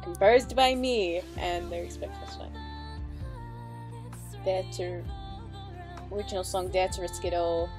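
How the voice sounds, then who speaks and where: A woman's voice: fairly low pitch, steady tone, measured pace, long pauses. A woman hosting a reaction video, commenting casually as she goes. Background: background music.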